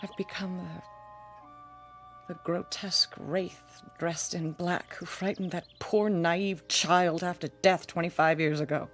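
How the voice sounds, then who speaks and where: An actress playing a bitter, haunted, hard-drinking woman in her forties in a radio drama, theatrical and sardonic with a husky voice. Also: fairly low pitch, fairly even intonation, measured pace, lightly acted. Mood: bitter regret. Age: 40s. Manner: theatrical, sardonic, self-pitying. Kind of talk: old-time radio drama acting. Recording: radio drama with music underneath.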